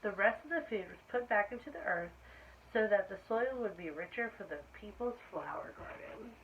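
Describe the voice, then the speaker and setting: Female storytime reader, mid-pitched, expressive, engages young listeners; dull lo-fi recording with faint noise. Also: normal speed. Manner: expressive, asks listeners questions. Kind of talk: read-aloud of a children's picture book with asides to listeners. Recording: muffled lo-fi sound with faint noise.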